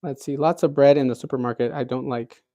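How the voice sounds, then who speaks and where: A man's voice: medium pitch, steady tone, quick pace, mellow. A man in his thirties hosting a cooking livestream, chatting casually with viewers and reading out their comments, rambling with lots of fillers and restarts. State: recovering from a cold.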